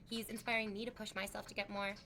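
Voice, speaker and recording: A woman's voice: medium pitch, flat delivery, quick pace, sharp tone, a little hiss or hum. A woman in her thirties, a streamer chatting casually with her viewers, rambling frankly with lots of "like". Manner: rambling, frank, heavy use of "like". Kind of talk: casual chat with live chat.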